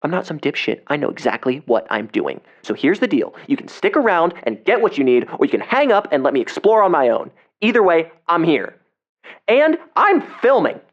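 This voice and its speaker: An actor playing a cocky, defensive seventeen-year-old amateur explorer in an audio drama, talking casually and bristling when challenged. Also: male voice, on the high side, very animated, normal speed, lo-fi sound. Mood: defiant, indignant. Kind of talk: audio drama phone dialogue.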